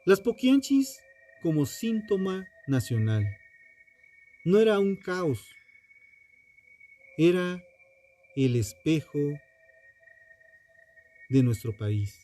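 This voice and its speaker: A man narrating a scripted true crime story with dramatic, deliberate phrasing and pointed pauses. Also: sits high, very animated, dark tone. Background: music under the voice.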